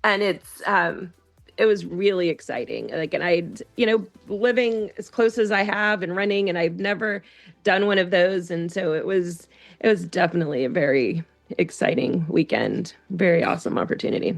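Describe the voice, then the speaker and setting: A woman's voice: on the low side, moderate pace, creaky, faint music behind. A woman talking casually as an interview guest, enthusiastic, often repeating and restarting her phrases. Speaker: podcast guest, school teacher.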